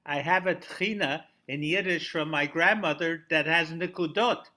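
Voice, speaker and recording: High, bright-voiced man in discussion. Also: moderate pace. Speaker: class participant. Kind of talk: casual discussion comment.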